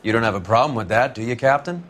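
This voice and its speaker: A man's voice: medium pitch, expressive, fast talker, a bit bright, a little hiss or hum, natural voice. An American man playing a brash, sneering, swaggering 1940s detective in a video game, with a cocky, needling manner. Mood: cocky, breezy. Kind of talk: scripted game acting.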